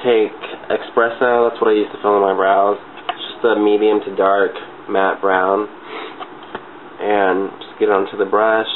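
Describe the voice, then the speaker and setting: A man's voice: on the low side, little pitch movement, normal speed. A man with a congested, stuffy voice from a cold, chatting casually and a little loosely through a makeup tutorial. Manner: informal, giggly, loosely rambling. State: has a cold. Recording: muffled phone-like webcam-style audio, clear noise.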